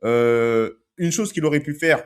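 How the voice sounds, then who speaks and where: A man's voice: a little high, unhurried, smooth. A man working as a dating coach, talking through video clips in a conversational way and often checking in with "ok?". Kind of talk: commenting on street-approach video clips.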